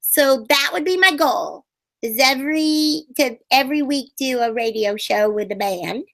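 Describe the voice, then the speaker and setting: A woman's voice: high voice, unhurried, gravelly. A woman voicing a bubbly, chatty young puppet girl in a sunny, sing-song manner during an interview. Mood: cheerful.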